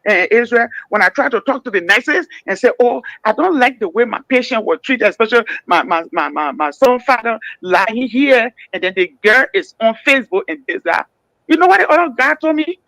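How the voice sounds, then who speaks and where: A woman's voice: a little high, animated, brisk, a bit bright, no echo, low-quality recording. A woman with a Liberian accent calling in to a radio talk show, speaking emphatically and often stammering and repeating words. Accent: Liberian English. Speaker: caller.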